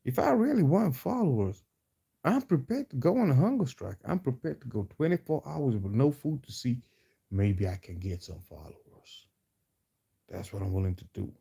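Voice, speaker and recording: A man speaking in a put-on Southern US drawl, rambling casually to his followers in a slangy, repetitive, halting way. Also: mid-range voice, very animated, gravelly, mellow, slightly rough. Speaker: vlogger addressing followers. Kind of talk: rambling casual monologue to camera.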